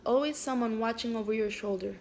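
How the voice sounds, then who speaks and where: A woman raised in Canada whose first language is French, introducing and then reading aloud her own story in a hesitant way, with frequent pauses and fillers. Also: on the high side, darkish tone, a little hiss or hum.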